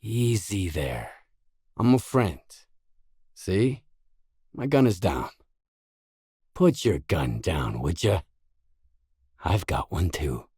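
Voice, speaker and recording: Low slow tough guy for a game, guarded dry mercenary, blunt, super close dry recording. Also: darkish tone, husky, no room sound, full character voice. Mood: angry, confrontational. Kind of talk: video game voice acting, isolated dialogue lines.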